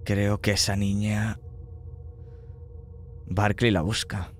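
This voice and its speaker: Low-voiced man narrating a horror game. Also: flat delivery, slow pace, a little hiss or hum. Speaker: game master.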